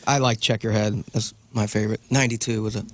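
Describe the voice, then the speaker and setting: Mid-pitched nasal band guest. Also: male voice, steady tone, fast. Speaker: tribute band member, guest. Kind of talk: casual studio chat.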